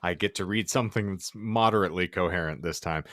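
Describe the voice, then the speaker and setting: A man's voice: sits low, fast, a bit bright, no room sound, studio quality. A man hosting a casual podcast with a theatrical, mock-solemn turn of phrase. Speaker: podcast host. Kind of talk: casual banter and hypothetical read-out.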